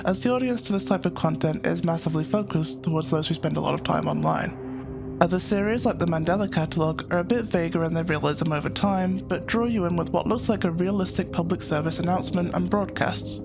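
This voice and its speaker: Creaky, high-pitched man narrating an essay. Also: brisk, over music. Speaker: video essayist, host. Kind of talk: scripted analytical narration.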